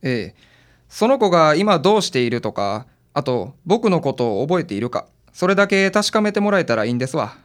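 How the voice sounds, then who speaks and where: A man's voice: fairly high pitch, brisk. An actor playing a hesitant, sentimental married father with a Kansai accent, a nervous client in a radio drama. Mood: flustered. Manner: hesitant, defensive, excitable. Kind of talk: audio drama acting.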